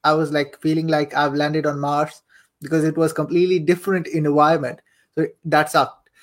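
A man's voice: fairly high pitch, level tone, normal speed. A young man telling his story casually as an interview guest, chatty and repeating phrases for emphasis. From Saudi Arabia, later Pakistan. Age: young adult. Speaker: podcast guest, affiliate marketer and coach.

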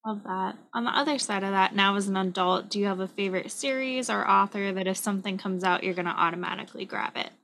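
A woman's voice: medium pitch, fast talker, gravelly, hardly any pauses, a bit bright. A woman asking casual, conversational questions as an interviewer.

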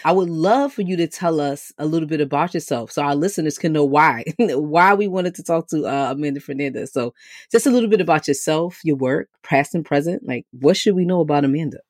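A woman's voice: deep voice, a little fast, dry recording. Enthusiastic woman host, dry sound. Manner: warm, enthusiastic, laughs easily. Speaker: podcast host. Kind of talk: conversational interview.

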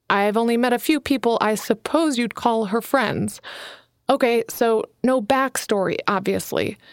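A woman's voice: fairly high pitch, dry recording, studio quality, natural voice. A woman reading an audiobook, voicing an eager, earnest young woman writer who pleads and bristles. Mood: anxious. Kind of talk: audiobook character voice.

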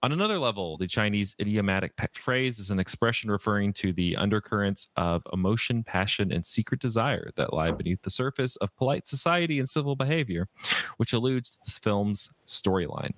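A man hosting a podcast, reading prepared film notes aloud in a halting way and stumbling over words. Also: on the low side, expressive, a bit bright, dry recording. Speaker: podcast host.

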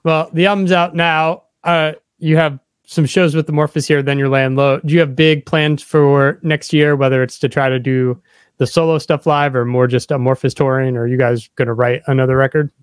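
A man's voice: fairly high pitch, fairly even intonation, normal speed, clean tone, no echo, studio quality. A man hosting a podcast interview in a casual, conversational style. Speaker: podcast host.

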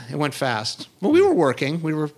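A man's voice: a little high, huge pitch swings, normal speed, darkish tone. A middle-aged man interviewing a guest, asking curious, prompting questions in a casual conversation. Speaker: interviewer, cookbook co-author.

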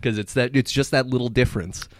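A man's voice: mid-range voice, fast, no room sound. A man in his forties hosting a podcast, playful and joking, laughing often. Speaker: podcast host. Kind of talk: casual chat.

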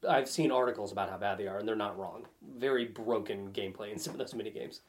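A man's voice: on the low side, fast talker. A man chatting casually on a gaming podcast as a panelist, with a wry, joking way of commenting. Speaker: podcast panelist discussing video games. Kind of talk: casual chat.

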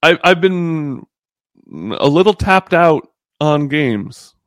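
A man in his thirties chatting casually as a podcast guest, halting and rambling, with a joking streak. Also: on the high side, a bit bright, dry recording, studio quality. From born in the Bahamas. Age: 30s. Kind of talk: casual chat.

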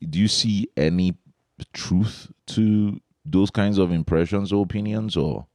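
A man hosts a casual podcast interview in a very low voice. He rambles loosely, restarting his questions as he goes. The sound is dry and close to the mic. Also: a little slow, dark tone, dry recording. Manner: laid-back, rambling with restarts. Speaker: podcast host.

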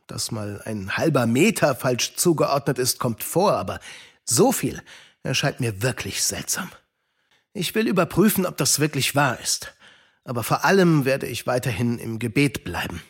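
A man's voice: mid-range voice, sing-song, normal speed, breathy edge. A man acting a kindly, devout uncle in a German children's radio play, earnest and shaken. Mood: earnest, steadfast.

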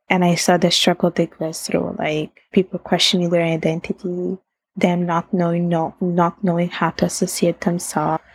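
A woman's voice: fairly low pitch, husky, no echo, poor audio. A young woman with an Italian accent, reflective in a casual interview, laughing often and restarting sentences. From Italy. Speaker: guest.

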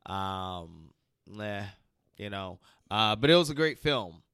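A hoarse man chatting on a talk show, with a low, bright and clean voice that cuts through in spite of a sore throat. He talks haltingly, full of fillers, and the dry close mic keeps every word near. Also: lively intonation, dry recording. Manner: halting, many fillers and restarts. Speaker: podcast co-host. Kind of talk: casual chat.

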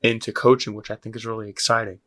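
A man's voice: lowish voice, flat delivery, fast talker, pushed and bright. A man hosting a casual podcast interview, encouraging and affirming his guest. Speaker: podcast host.

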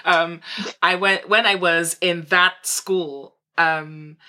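A woman with a Nigerian accent telling a personal story in an animated way during a casual panel discussion. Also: on the low side, slow pace.

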